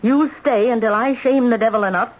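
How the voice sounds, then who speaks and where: A woman's voice: a little high, fairly quick, close to an everyday voice. An actress playing an older, wealthy grandmother, domineering and cutting, in an old-time radio drama. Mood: bitter, resigned. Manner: imperious, formal, cutting. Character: domineering wealthy socialite grandmother, haughty and bitter. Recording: muffled noisy old radio recording.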